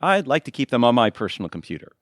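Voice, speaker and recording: A man's voice: mid-range voice, very animated, fast talker, dry recording, clean studio sound, natural voice. A man playing a goofy, guileless middle-aged husband in a comedy audio drama, eager and a little wry. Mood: eager, clueless. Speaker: voice actor playing the lead. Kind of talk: community audio theatre comedy acting.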